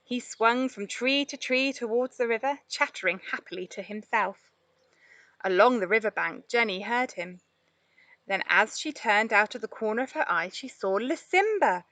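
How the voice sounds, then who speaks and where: A woman reading a children's storybook aloud in an expressive narrating style. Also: fairly high pitch, moderate pace, no room sound. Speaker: storybook reader.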